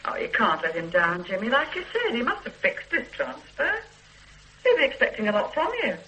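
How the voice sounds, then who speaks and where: A woman's voice: on the high side, huge pitch swings, normal speed, bright, forceful tone, heavily acted, rough recording. An actress playing a fond mother who teases and coaxes her hungover grown son, in a radio drama. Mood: teasing. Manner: teasing and coaxing. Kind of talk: 1970s radio drama acting. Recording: old radio recording with faint hiss.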